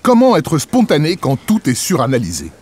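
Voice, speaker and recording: A man's voice: fairly high pitch, wildly swooping pitch, normal speed, darkish tone, slight background noise. A man reading a scripted narration as the voice of a magazine show. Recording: voice-over with faint music. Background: faint background music.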